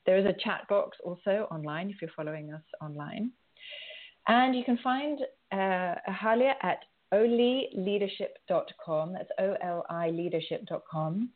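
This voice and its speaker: A woman radio host interviewing a guest live, reading out contact details in an encouraging way. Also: lowish voice. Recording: phone line.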